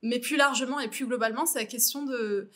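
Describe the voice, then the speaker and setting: A woman's voice: on the high side, a little fast, fairly bright tone. A woman from Normandy with a French accent, speaking as a podcast guest in a casual, reflective and explanatory way, often rephrasing as she goes. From Normandy, France. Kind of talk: casual conversational interview.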